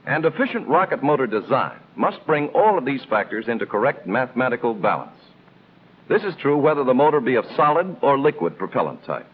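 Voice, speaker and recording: A man's voice: mid-range voice, very animated, fairly quick, breathy edge. Tinny 1950s American male training-film narrator. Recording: tinny band-limited old film soundtrack, noisy.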